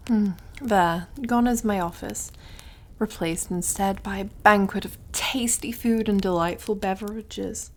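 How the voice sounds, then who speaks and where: A woman's voice: mid-range voice, fairly even intonation, measured pace, darkish tone, natural voice. A woman voicing a suave, condescending devil in an ASMR roleplay, drawling and over-polite in a theatrical, taunting way. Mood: silky, menacing courtesy. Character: suave, mocking, condescending devil who deals in soul contracts.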